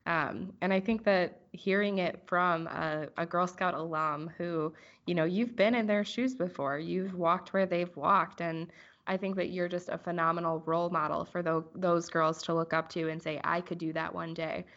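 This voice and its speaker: A woman's voice: lowish voice, fairly even intonation, normal speed, a bit bright. A woman hosting an interview podcast in an encouraging, effusive manner. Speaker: podcast host. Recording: slightly dull lo-fi sound.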